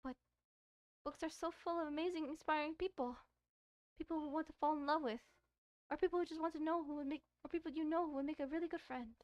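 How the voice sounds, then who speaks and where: A woman's voice: high-pitched, fairly even intonation, quick pace, a little mellow, no echo, natural voice. A woman voicing a shy, bookish, self-doubting schoolgirl from a visual novel, hesitant and earnest. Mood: wistful, shy.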